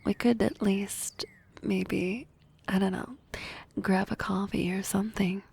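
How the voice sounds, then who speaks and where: A woman voicing a young harpy classmate in love in an ASMR roleplay, soft and often whispering, hesitant and teasing. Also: mid-range voice, fairly even intonation, slow pace, vocal fry, husky, heavily acted. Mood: tender, teasing.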